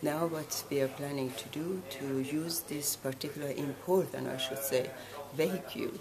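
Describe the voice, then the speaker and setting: A woman in her sixties from Iran gives formal interview answers in a flat, even voice. She speaks in a measured way, pausing often between phrases. A murmur of a crowd sits behind her close webcam sound. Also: low voice. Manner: measured, deliberate, with frequent pauses and fillers. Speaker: guest, association president.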